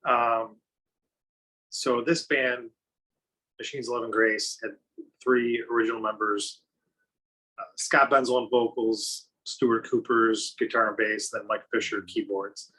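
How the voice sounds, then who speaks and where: Bright, husky-voiced man rambling. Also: mid-range voice, fairly even intonation, unhurried, long pauses, rough recording. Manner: rambling, loses his place, chuckles. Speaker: podcast panelist. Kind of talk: casual chat.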